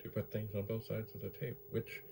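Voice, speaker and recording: A man's voice: fairly low pitch, level tone, fairly quick, vocal fry. A man hosting a hands-on hobby video in casual, unscripted chat, rambling and musing to himself as he goes. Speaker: host of a vintage computer video series. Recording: background hum and noise. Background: gentle tape machine hum, clicking.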